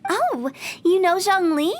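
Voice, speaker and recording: A woman's voice: very high voice, big pitch swings, slow pace, full character voice. A woman voicing a playful, eccentric young female funeral director in a video game, with a theatrical, teasing, cheerfully morbid sales manner. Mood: breezy, sly. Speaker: funeral parlor director character. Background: music.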